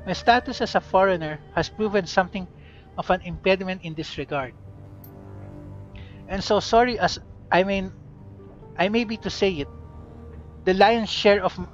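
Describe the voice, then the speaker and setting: A man's voice: high voice, close to an everyday voice. Raptor, a formal robot designer, read by a man. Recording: dull lo-fi recording.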